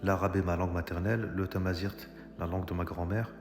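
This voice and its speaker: A man's voice: low voice, little pitch movement, quick pace. Male commentator, argumentative monologue, hesitant delivery, reverberant room. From Algeria. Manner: argumentative, addresses viewers directly, frequent hesitations. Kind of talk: political monologue. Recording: echoey room with long reverb. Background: music.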